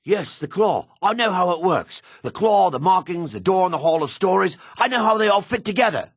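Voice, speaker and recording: An actor playing a male dark elf townsman in a fantasy video game, with a raspy voice, gruff and theatrical. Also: sits high, lively intonation, fairly quick, no echo, clean studio sound. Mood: panicked, pleading. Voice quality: raspy, husky.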